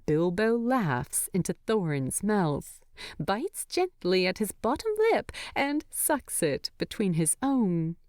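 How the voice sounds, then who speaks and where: A woman narrating a story in an expressive, tender, unhurried way. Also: mid-range voice, no echo, studio quality. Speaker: fan fiction narrator.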